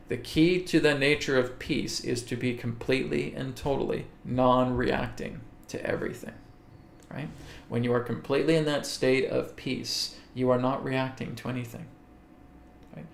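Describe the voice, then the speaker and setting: A man speaking in a gravelly, creaky voice, hosting a live spiritual webcast and answering viewer questions. He talks slowly and deliberately, often pausing to check in with "okay?". His voice carries the echo of a roomy space. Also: medium pitch, fairly even intonation, a little hiss or hum. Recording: echoey room.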